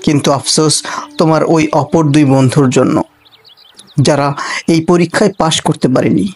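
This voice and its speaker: A man playing a cartoon royal guard disguised as a poor traveller, pleading and preaching in an earnest, deliberate way. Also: on the high side, fairly even intonation, breathy edge, faint music behind. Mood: solemn, approving. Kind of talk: Bengali cartoon character acting.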